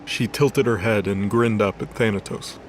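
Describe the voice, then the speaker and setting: A man's voice: on the low side, normal speed, slight background noise. A man reading scripted story narration for an audio drama.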